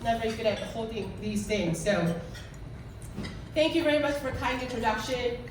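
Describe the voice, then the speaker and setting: Korean-accented woman in her forties, guest speaker, earnest and halting, fairly high voice, distant mic in an echoey room with steady noise. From South Korea. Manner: earnest, halting speech with restarts. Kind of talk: speech to a club audience. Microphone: handheld mic. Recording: echoey room, recorded from far off.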